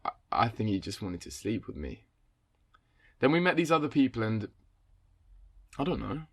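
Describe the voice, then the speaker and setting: A candid young man telling his story in a radio drama. He has a middle-pitched voice and a hesitant, confessional way of talking. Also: very animated, normal speed, breathy edge. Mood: candid, reflective. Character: candid young man recounting a casual encounter.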